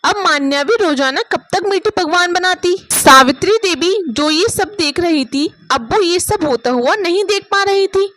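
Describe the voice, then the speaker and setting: A woman's voice: extremely high pitch, lively intonation, moderate pace, hardly any pauses, fairly bright tone, slight background noise. A woman reading scripted narration for a story as its narrator.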